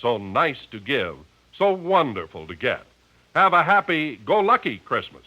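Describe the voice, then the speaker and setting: A male radio announcer from the fifties, bright and forceful with very lively swings of pitch. He delivers sponsor copy and credits in a slightly high voice. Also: a little slow, a little hiss or hum, rough recording. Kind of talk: 1950s radio announcing and ad reading.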